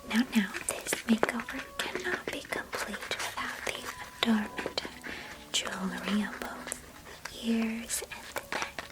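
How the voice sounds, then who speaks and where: A woman's voice: on the high side, slow, rough recording. A woman playing a chatty, doting young cousin in an ASMR roleplay, whispering breathily with playful grandeur. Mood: doting, whimsical.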